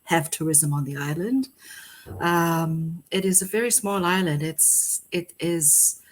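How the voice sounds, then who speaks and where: Fiji-raised woman guest, lowish voice. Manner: warm, laughs often, thoughtful pauses. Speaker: poet, podcast guest. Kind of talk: conversational interview.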